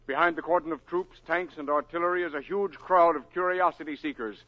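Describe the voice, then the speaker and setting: An urgent radio newsman reports live from the scene, played by a man in an old radio drama. His voice sits high and the broadcast sounds dull and lo-fi, like an old radio recording. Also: brisk, close to an everyday voice. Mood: tense. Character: male radio news announcer at a landing site. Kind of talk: 1950s radio drama acting.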